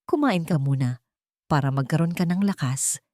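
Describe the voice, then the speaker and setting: A woman's voice: sits low, moderate pace, mellow, no room sound, studio quality, lightly acted. A woman voicing a kindly, caring elderly grandmother in a storybook tale, speaking tenderly and reassuringly. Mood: warm, encouraging. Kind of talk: storybook dialogue.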